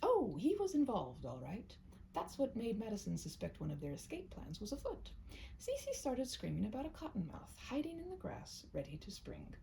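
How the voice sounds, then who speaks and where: Woman doing a storybook teacher, courteous, wry, dry humor, swooping pitch, slight hiss behind. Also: wildly swooping pitch, moderate pace, gravelly, warm tone, full character voice, low-quality recording. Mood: amused. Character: polite, wryly amused woman school yard teacher.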